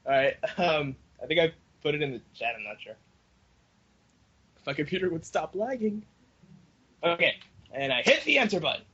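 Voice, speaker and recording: A male podcast co-host with a high voice that swings widely in pitch and has a raspy grain. He talks casually and jokingly with friends, swearing now and then. The audio is slightly muffled, like an online voice chat. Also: moderate pace, long pauses. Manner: jokey, animated, swears casually. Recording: dull lo-fi voice chat.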